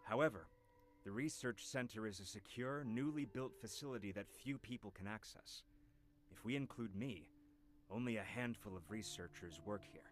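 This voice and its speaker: An actor playing a measured, cooperative university researcher in a video game, explaining things formally. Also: male voice, on the low side, close to an everyday voice. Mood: measured, explanatory. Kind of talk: scripted game voice acting.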